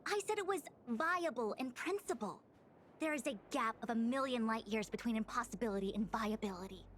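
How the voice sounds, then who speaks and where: A woman's voice: on the high side, lively intonation, brisk, slight background noise, natural voice. A woman playing a learned, exacting diviner in a video game, speaking formally and precisely in long explanations. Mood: lecturing. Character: learned, exacting female diviner, long-winded and self-assured. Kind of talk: scripted video game character dialogue.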